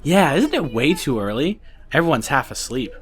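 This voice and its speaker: Teenage schoolboy, low register, animated, friendly, easygoing, casual, audiobook drama. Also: female voice, moderate pace, slightly rough, full character voice. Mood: gentle. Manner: casual, gentle, cheerful. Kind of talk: acted audiobook dialogue.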